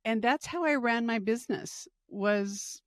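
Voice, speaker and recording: A woman's voice: on the high side, measured pace, no echo, studio quality. A middle-aged woman podcast host, talking about her work in an earnest, upbeat, rambling way with fillers. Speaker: podcast host and retirement educator. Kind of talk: casual interview chat.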